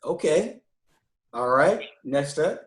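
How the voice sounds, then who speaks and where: A man's voice: fairly high pitch, expressive, slow pace, echoey room. A man guest-hosting a live online talk show, upbeat and loose, with many fillers. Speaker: guest host.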